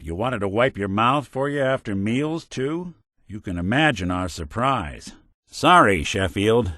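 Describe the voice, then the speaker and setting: A man's voice: lowish voice, dry recording. An actor playing a world-weary male synth detective in a video game, delivering dry, sardonic quips in a noir drawl. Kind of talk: video game companion voice lines. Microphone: close studio mic.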